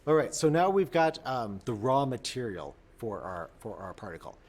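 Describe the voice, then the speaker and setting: A man explaining software step by step in an unscripted tutorial, often repeating and rephrasing himself as he goes. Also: medium pitch, sing-song, normal speed.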